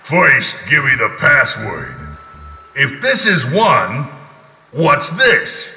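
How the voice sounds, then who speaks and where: An actor playing a gruff, secretive doorman in a cartoon adventure game, curt and conspiratorial. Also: mid-range voice, sing-song, takes it slowly, pushed and bright, exaggerated voice. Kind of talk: animated adventure game dialogue. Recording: echoing, reverberant, music behind.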